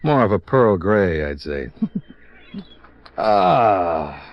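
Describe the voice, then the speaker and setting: An American actor playing a wry, easygoing insurance investigator with dry, understated wit in a 1950s radio drama. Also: male voice, sits low, sing-song, extremely slow, muffled recording, full character voice. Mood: relaxed, teasing.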